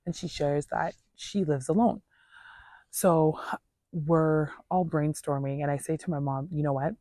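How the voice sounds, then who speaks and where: A woman's voice: low-pitched, fairly even intonation, measured pace, mellow, no room sound. A woman telling a personal story in a casual, earnest, conversational way, voicing reported dialogue as she goes. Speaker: storyteller vlogger.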